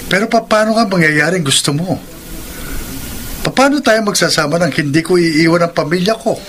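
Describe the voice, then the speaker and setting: A man's voice: high voice, normal speed, a bit bright, slight background noise. A man with a Filipino accent playing a cornered, sardonic married man in a radio drama, with dramatic delivery. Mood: incredulous.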